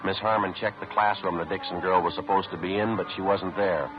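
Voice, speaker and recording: A very low-voiced, stoic male detective played by an actor reports facts in a clipped, deadpan way. It sounds like a muffled old 1950s radio police drama. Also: brisk, music in the background, natural voice. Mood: sober, businesslike. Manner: deadpan, matter-of-fact. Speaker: narrator and lead actor. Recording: muffled vintage radio recording.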